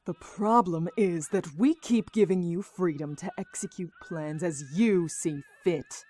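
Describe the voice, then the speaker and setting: A woman's voice: on the low side, a little slow, mellow. A woman playing a stern, impatient noblewoman in an audio drama, scolding and sardonic. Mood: angry, scolding. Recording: music under the voice.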